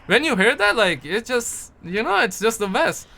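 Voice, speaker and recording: A man's voice: very high voice, sing-song, normal speed, bright, forceful tone. A young man from Pakistan, chatting casually on a livestream and answering viewer comments in a rambling, playful way that repeats words. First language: Urdu.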